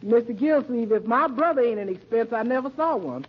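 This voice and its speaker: An actress playing a good-natured, plain-spoken American housekeeper, folksy and earnest, in 1940s radio comedy style. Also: female voice, a little high, expressive, brisk, slight background noise, close to an everyday voice. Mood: earnest, bothered. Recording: muffled old radio recording.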